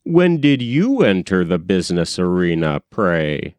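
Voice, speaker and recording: Older gentleman of business, put on by a narrator: hearty, blustery storybook man with swooping, animated pitch. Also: measured pace, dry recording. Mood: genial surprise. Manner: hearty, old-fashioned exclamations.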